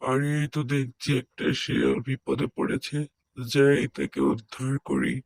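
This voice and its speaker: A cartoon old woodcutter, acted by a man, pious, gentle and frail. His pitch sits in the middle for a man, and he speaks plainly with exclamations. The recording is very dry. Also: little pitch movement, a little slow, vocal fry, dry recording. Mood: concerned. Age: older. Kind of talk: cartoon story acting.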